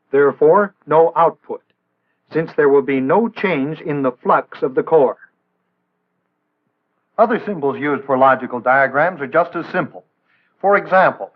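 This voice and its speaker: An American man narrating a 1960s instructional film in a measured, didactic way with precise enunciation. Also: a little high. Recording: band-limited, tinny vintage film soundtrack.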